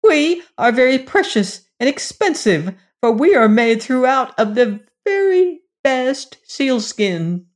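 Woman voicing shrill sealskin dwarves, small and fat, spiteful, very high, loud, storybook. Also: big pitch swings, slow pace, few pauses, full character voice. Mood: boastful. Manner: shouting, screeching and taunting.

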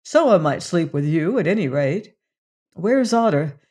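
A brisk, practical adventurer, voiced by a man reading a storybook aloud, gives orders in a high, quick voice. He sounds commanding and sure of himself. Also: very animated, a little mellow, slightly rough, full character voice.

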